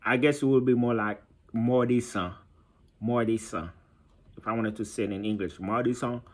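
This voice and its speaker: A man, telling a personal story informally as a vlogger, halting and reflective as he searches for words. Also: medium pitch, fairly even intonation. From Haiti. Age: 30s.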